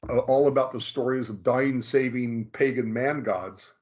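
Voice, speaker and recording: A man speaking as a guest rabbi, explaining points firmly and hammering them home by repeating phrases. His pitch is mid-range. The audio is slightly muffled. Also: fairly even intonation, normal speed, a little mellow. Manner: emphatic, repeats key phrases. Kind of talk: explanatory discussion.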